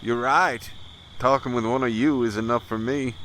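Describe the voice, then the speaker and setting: A man's voice: medium pitch, steady tone, fairly bright tone, slight background noise, lightly acted. An actor playing a cruel, menacing racist predator in an audio drama, drawling and mocking as he threatens. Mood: sneering. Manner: taunting, drawling, slow menace. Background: crickets and night insects.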